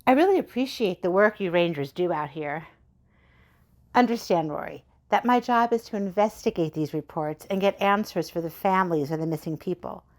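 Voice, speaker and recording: A woman's voice: on the low side, expressive, normal speed, darkish tone, faint music behind, natural voice. A woman playing a determined, businesslike investigator in a radio drama. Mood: firm, businesslike. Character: determined woman investigator seeking missing people.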